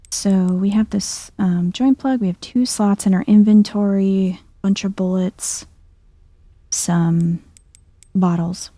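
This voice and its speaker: A soft-spoken woman giving chill, half-whispered ASMR commentary on a video game, thinking aloud as she plays. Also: mid-range voice, gravelly, no room sound.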